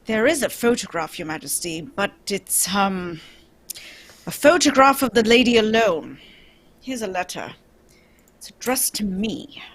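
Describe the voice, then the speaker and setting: A woman playing a shrewd male detective with a husky, theatrical delivery in an amateur radio drama. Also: mid-range voice, big pitch swings, measured pace. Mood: measured. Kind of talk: amateur old-time radio drama reading.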